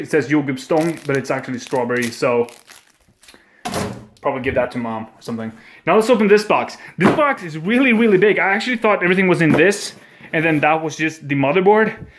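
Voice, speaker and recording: Excitable young Swedish man, a bit high. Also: a little fast, slight background noise. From Sweden. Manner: excitable, swears a lot, jokey. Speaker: gaming vlogger. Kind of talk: casual unboxing vlog. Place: at home indoors. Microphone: webcam.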